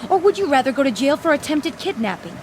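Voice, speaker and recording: An actress playing a bitter, scornful American wife in a radio drama, sarcastic, biting and commanding. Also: female voice, sits high, sing-song, quick pace, a little mellow, slight background noise. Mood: impatient.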